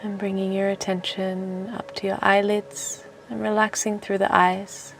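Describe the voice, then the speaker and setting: A woman leading a guided relaxation meditation in a soft, gentle, soothing voice that turns breathy at times, with unhurried phrases. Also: medium pitch, little pitch movement, low-quality recording. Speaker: meditation teacher and host. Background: music.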